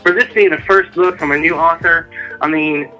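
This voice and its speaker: A man's voice: a little high, steady tone, normal speed, pushed and bright, muffled recording, soft background music. A man speaking as an author guest in a casual interview, earnest and motivational.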